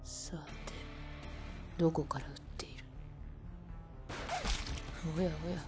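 A woman playing a cold, composed veteran sniper in a radio drama, murmuring in a measured, menacing way. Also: deep voice, fairly even intonation, in a whisper, over music. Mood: cold, scornful.

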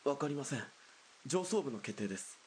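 A man plays a dutiful soldier escort in a radio drama, mid-pitched and formal. He reports in stiff military politeness, with a faint hiss behind him. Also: lively intonation, brisk, a little mellow, lightly acted.

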